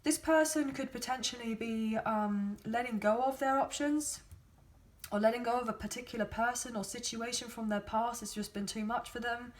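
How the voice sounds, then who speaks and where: A woman's voice: fairly high pitch, normal speed. A woman gives a chatty, rambling tarot reading, filling it with hedges and ums. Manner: chatty, rambling, hedging with many fillers. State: sore throat, losing her voice. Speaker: tarot card reader. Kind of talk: casual spoken tarot reading.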